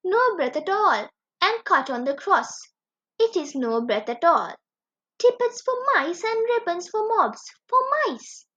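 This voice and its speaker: A woman's voice: very high-pitched, very animated, measured pace, bright, forceful tone, full character voice. A woman voicing a poor, weary male tailor who frets and mutters in a storybook reading. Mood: muttering, fretful. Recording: dull lo-fi recording.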